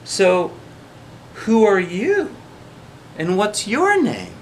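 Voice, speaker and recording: A man's voice: high voice, wildly swooping pitch, drawn-out words, a little hiss or hum, voice pushed up, exaggerated voice. A man voicing a brave, indignant young storybook girl with an emphatic, scolding manner. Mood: questioning.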